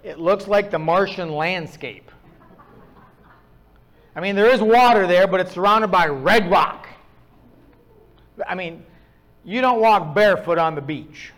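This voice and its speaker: Middle-aged pastor, northern US accent, high tenor, wry self-mocking storyteller. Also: male voice, measured pace, long pauses, a bit bright. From Upper Midwest of the US. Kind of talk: sermon.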